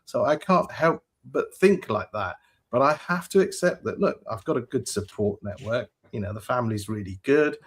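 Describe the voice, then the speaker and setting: A man's voice: mid-range voice, expressive, normal speed, husky. A man speaking as a panelist. He talks hesitantly and fills his speech with "you know". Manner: hesitant, heavy with fillers. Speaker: panelist, founder. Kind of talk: panel discussion.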